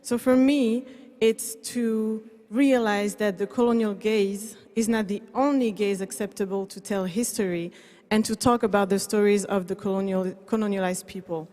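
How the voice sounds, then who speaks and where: Woman, from Belgium, earnest and thoughtful, mid pitch, panel speaker, reverberant hall. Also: fairly even intonation, normal speed, mellow. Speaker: museum public services staff, panelist. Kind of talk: panel discussion. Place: large museum event hall.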